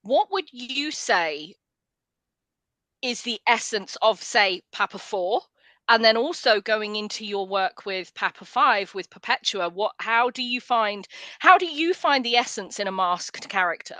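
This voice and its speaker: Woman hosting a podcast, bright voice, dry close sound, curious questions. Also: medium pitch, animated, a little slow, no room sound. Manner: curious, asks long searching questions. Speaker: podcast host. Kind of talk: casual interview chat.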